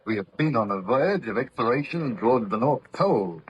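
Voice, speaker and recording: An actor playing a steady, responsible sea captain in an old radio drama, formal, courteous and commanding. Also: male voice, medium pitch, brisk, husky. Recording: muffled, noisy old radio recording.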